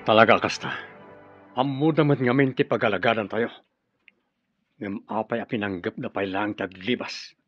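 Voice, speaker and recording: A man's voice: fairly low pitch, normal speed, pauses often, no echo, faint music behind, natural voice. Man acting a grave rebel leader, muffled. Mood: grave, resigned. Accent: Filipino. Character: grave, measured rebel commander of a group. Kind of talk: Filipino radio drama acting. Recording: muffled dry studio radio drama.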